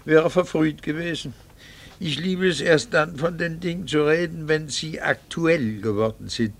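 A middle-aged husband in an old-style radio drama. His voice sits fairly high for a man and crackles with creak. He chooses his words formally, with a cool, bitter irony kept under tight control. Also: fairly bright tone, full character voice. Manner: formal, measured, dryly ironic. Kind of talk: 1960s German radio drama acting.

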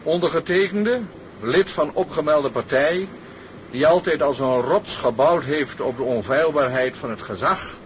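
An actor playing a formal, kindly older sea captain in a radio drama, with a pompous, declamatory way of speaking. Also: male voice, mid-range voice, noisy background, natural voice. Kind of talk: Dutch radio drama acting.

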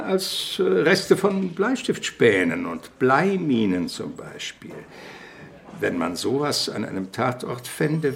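Gravelly, animated, meticulous police deputy. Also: male voice, mid-range voice, slight background noise, heavily acted. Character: worried, meticulous male police commander, a loyal deputy. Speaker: radio drama actor. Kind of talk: German crime radio drama dialogue.

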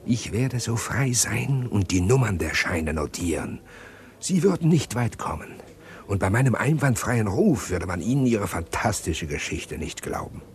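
A scheming, respectable embezzler in a vintage radio crime play. He lays out his plan precisely and insistently, often dropping to a hush, in a low voice with a lively pitch. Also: measured pace. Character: scheming respectable male embezzler plotting a fake burglary.

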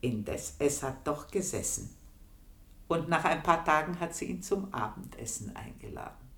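An actress playing an aging, shrewd maid in a radio play, confiding her memories in a hushed, whispery way. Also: low-pitched, animated, moderate pace. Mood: wry. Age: older. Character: aging female servant, shrewd and bitter. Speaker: maid recounting her past. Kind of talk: 1980s radio play monologue.

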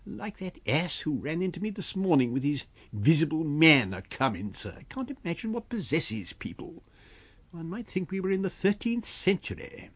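A man voicing an educated gentleman doctor in an audiobook, disdainful and exasperated as he mutters to himself. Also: a little high, sing-song, normal speed, slightly rough, no echo, pitched above the performer's own voice. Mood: exasperated scorn.

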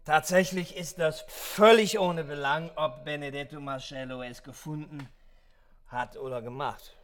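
Man acting a high-voiced, pompous young intellectual. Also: loud music behind the voice, natural voice. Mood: musing, pedantic. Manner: pedantic, declamatory, halting. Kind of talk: radio play acting.

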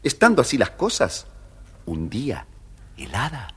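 A man's voice: mid-range voice, huge pitch swings, unhurried, a bit bright, husky. A man narrating a scripted children's story as the storyteller. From Argentina.

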